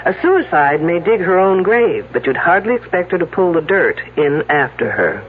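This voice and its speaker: A wry, confident female detective played by a woman in a radio mystery. Her delivery is dry but very animated, heard through a narrow, hissy old broadcast. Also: lowish voice, moderate pace, close to an everyday voice. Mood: dry, assured. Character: self-assured, wry female detective, expert on fresh corpses. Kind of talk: radio drama acting.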